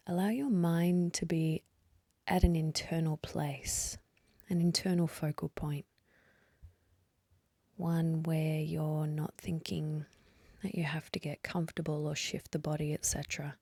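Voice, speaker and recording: A woman leading a guided meditation in a soft, breathy voice, gently and unhurriedly, with long pauses. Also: sits low, dry recording, clean studio sound. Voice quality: soft, breathy, partly whispery. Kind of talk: guided meditation, slow calm instruction.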